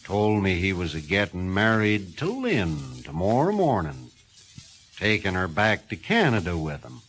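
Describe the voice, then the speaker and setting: A man playing an easygoing older small-town husband who speaks in a relaxed, folksy drawl. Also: low voice, measured pace, exaggerated voice. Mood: content.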